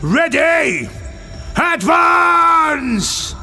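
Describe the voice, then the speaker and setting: A man voicing a ruthless, imperious highborn dark elf warlord in a fantasy audiobook, curt and commanding. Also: sing-song, extremely slow, faint music behind, full character voice. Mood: shouted command. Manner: barking, imperious.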